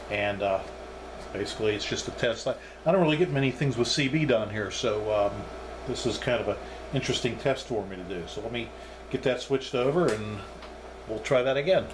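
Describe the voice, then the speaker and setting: A man's voice: medium pitch, noisy background. A man giving a casual, unscripted hands-on demonstration as a hobbyist reviewer, thinking aloud as he goes.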